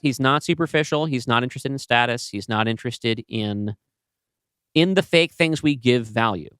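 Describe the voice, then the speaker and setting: A man talking casually and bluntly as a podcast host, with loose swearing and an animated discussion style. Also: medium pitch, moderate pace, clean tone, no echo, clean studio sound.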